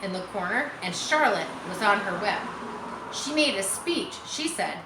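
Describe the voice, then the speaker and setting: A woman's voice: medium pitch, animated, measured pace, echoey room, noisy background, natural voice. A woman reading the part of an earnest, chatty young farm girl in a storybook, eager and matter-of-fact.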